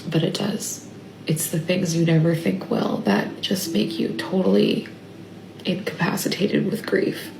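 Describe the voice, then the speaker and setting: A mother speaking in a halting, tearful confessional, often trailing off and dropping to a whisper. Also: fairly low pitch, steady tone, vocal fry. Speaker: mother sharing a personal update. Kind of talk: emotional confessional monologue. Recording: echoey room with faint ambient noise.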